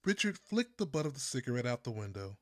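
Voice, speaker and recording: A man from Texas reading his own first-person story as an audiobook narrator, with deliberate phrasing and pauses. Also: mid-range voice, sing-song, a bit bright, no room sound, clean studio sound. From Texas, United States.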